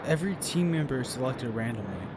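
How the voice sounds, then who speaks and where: A man's voice: mid-range voice, lively intonation, fast talker, noisy background, natural voice. A man playing a confident, eager teammate who talks in an informal, emphatic way. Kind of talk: audio fiction acting. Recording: music bed behind the voice.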